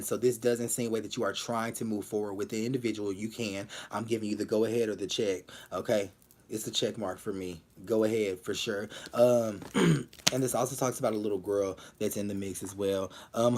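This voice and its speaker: Blunt man giving live tarot readings. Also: fairly low pitch. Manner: repeats phrases, many fillers, direct and blunt. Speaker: tarot reader.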